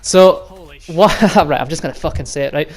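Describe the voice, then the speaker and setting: A young Scottish man from the Highlands in his twenties, talking casually to his viewers. He rambles, swears freely and laughs often. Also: moderate pace. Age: 20s. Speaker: vlogger and youth football coach. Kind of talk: casual monologue to camera.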